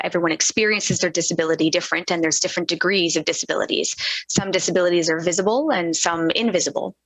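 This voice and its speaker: Low-voiced woman interpreting a panel talk. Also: fairly bright tone, no room sound, rough recording. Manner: measured, halting, with frequent um and uh. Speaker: sign language interpreter voicing a panelist. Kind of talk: first-person spoken interpretation of a panel talk. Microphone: video call.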